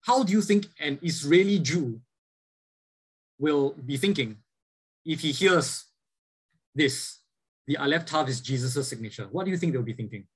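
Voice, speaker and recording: A man's voice: a little high, animated, normal speed, long pauses, husky, low-quality recording. A man giving a church lecture as a Bible teacher, earnest, asking his listeners rhetorical questions and often restarting his sentences. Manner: frequent fillers and repeated restarts. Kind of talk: church lecture over slides.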